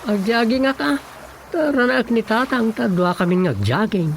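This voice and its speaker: A man's voice: sits high, animated, normal speed, vocal fry, a little hiss or hum. A man playing an eager, comic young fellow in an Ilocano radio drama, with an Ilocano accent. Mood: coaxing.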